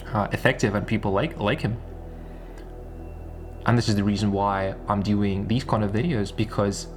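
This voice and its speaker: A man with a fairly low voice speaks off the cuff in a single take, like a content creator thinking aloud. He rambles, repeats his phrases and keeps posing rhetorical questions. Soft music plays faintly behind him. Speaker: online business content creator. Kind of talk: unscripted one-take monologue.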